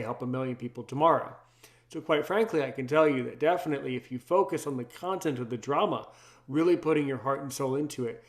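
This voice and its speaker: Male podcast host, medium pitch, conversational, earnest and emphatic with frequent repetition. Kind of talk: conversational interviewing.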